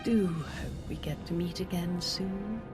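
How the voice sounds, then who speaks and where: A woman as a low, taunting villainess. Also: a little slow, lightly acted. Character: silky, taunting female villain. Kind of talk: video game cutscene dialogue. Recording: loud background music.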